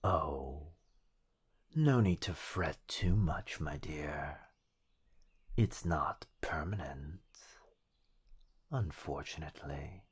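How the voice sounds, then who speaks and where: Casting: male actor as a teasing, possessive shadow being, deep husky whisper, unhurried, for ASMR roleplay. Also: lively intonation, full character voice. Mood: teasing, sinister. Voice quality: breathy, whispery. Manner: drawn-out, menacingly sweet delivery. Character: possessive, teasing living shadow of a sorcerer boyfriend.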